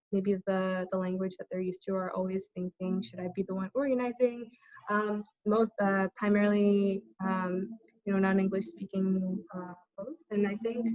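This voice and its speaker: A young American woman speaks on a group video call, pausing often on ums and uhs as she finds her words. Her delivery stays level with little rise and fall. The audio is dull and dry, typical of a conferencing line. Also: mid-range voice, no room sound. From United States. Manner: hesitant, many ums and uhs. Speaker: tenant organizer.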